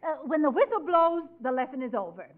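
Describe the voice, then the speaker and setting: A woman's voice: high voice, expressive, fairly quick. An actress playing a gushing, flirty, money-grabbing lady dance teacher in a theatrical 1940s radio comedy style. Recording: tinny, crackly 1940s radio recording.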